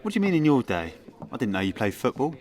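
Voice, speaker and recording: A man's voice: medium pitch, very animated, quick pace, darkish tone, natural voice. A man playing a sceptical young pool player in a comedy radio play.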